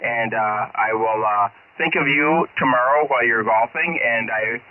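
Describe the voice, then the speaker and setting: An older American man chatting casually over ham radio, courteous and folksy, with a halting delivery. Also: mid-range voice. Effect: ham radio transmission. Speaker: amateur radio operator calling in. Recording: narrow noisy shortwave radio signal.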